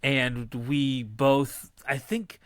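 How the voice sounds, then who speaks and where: A man from Texas hosting a podcast, relaxed and joking in casual chat. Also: mid-range voice, extremely slow, dry recording, studio quality. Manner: laid-back, joking, sprinkles in y'all. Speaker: podcast host.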